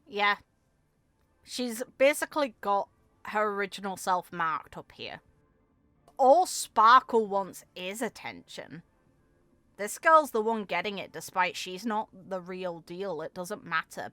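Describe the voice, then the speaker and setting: A woman's voice: mid-range voice, animated, a little slow, a bit bright, no echo. A woman giving casual, reflective commentary on a video game in an informal, opinionated way.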